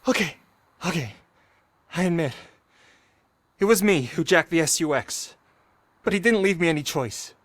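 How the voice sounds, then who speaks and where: A man's voice: a little high, lively intonation, moderate pace, pauses often, a bit bright. A man playing a scared, loyal teenage petty thief in a video game, anxious and pleading. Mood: panicked, pleading.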